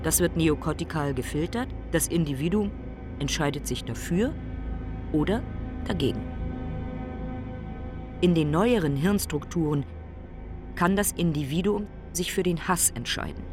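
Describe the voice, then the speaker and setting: An actor playing a brooding, philosophical ailing man in a radio play monologue, speaking in deliberate, emphatic short phrases. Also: mid-range voice, lively intonation, over music, natural voice. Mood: brooding, reflective.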